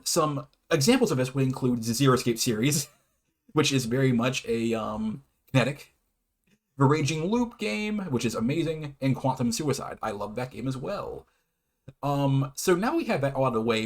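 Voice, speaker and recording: Guy doing a casual youtube explainer, medium pitch, kinda stumbly, fixes his words as he goes, chuckly. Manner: hesitant, stumbles over words and self-corrects; chuckles often between phrases. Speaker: YouTube host presenting his own definitions. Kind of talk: informal explainer, half read, half ad-libbed.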